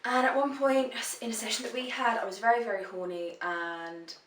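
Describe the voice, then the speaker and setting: A woman's voice: fairly high pitch, fairly even intonation, fairly quick, a bit bright. A woman answers viewer questions in a frank, chatty vlog, swearing freely. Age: 20s. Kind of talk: casual vlog Q&A. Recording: roomy echo, voice a bit far from the mic.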